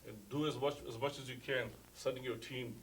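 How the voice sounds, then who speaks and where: A man from Afghanistan, a parent, giving earnest, halting public comment at a school board meeting. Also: medium pitch, steady tone, a little hiss or hum, low-quality recording. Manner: halting, earnest, many restarts.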